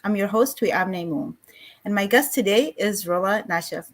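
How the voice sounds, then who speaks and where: A woman's voice: medium pitch, moderate pace. A woman hosting a discussion series, introducing and interviewing her guest. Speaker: host of a weekly discussion series. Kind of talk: online interview, reading an introduction then chatting.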